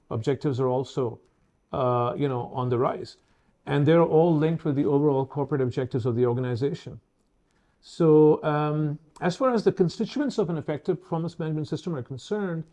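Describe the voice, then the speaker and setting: A man in his fifties presents as the host of a professional webinar. His voice sits a little high for a man and has a relaxed, mellow tone. He words things formally and hesitates between phrases.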